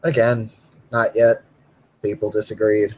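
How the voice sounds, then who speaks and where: A man's voice: lowish voice, level tone, unhurried, darkish tone, poor audio. A man playing a resigned, self-deprecating urban werewolf in a tabletop roleplay, wry and noncommittal. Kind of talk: in-character tabletop roleplay dialogue.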